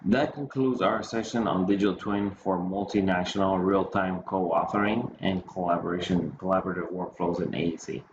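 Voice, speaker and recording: Male presenter, low-pitched, halting explanatory delivery, muffled recording with clear background noise. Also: steady tone. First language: Spanish or English, bilingual. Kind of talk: conference presentation.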